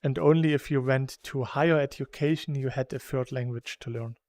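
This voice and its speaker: A man's voice: mid-range voice, fairly even intonation, fairly quick, a little mellow, dry recording. A man from Saxony, Germany, with a German accent, chatting casually and rambling while playing a game, laughing between thoughts. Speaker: gaming YouTuber.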